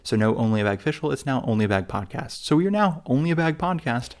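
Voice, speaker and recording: A man's voice: mid-range voice, animated, fast. A man co-hosting a travel podcast in casual chat, rambling and joking at his own expense. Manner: rambling, self-mocking, full of fillers and restarts. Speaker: podcast co-host.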